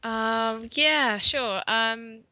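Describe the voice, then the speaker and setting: A woman from Finland, a podcast guest, chatting casually with fillers and soft trailing asides. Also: a little high, drawn-out words, dry recording, clean studio sound. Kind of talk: casual chat.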